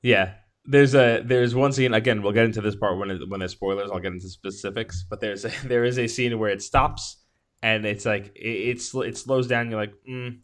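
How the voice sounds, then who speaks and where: A man with a slightly low voice talks as a podcast co-host. His casual film chat comes out halting and rambling, circling toward each point in loose conversational phrases. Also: fairly even intonation.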